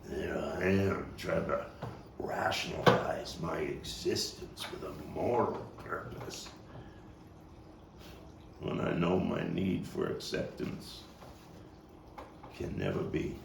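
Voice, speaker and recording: Man acting deep, soul-searching nostalgic Brooklyn man. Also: lively intonation, creaky, heavily acted. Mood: brooding, anguished. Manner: halting, repeating words, swinging from hushed to emphatic. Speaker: playwright and actor. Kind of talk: dramatic stage monologue at a table read. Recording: distant mic, roomy echo, faint noise.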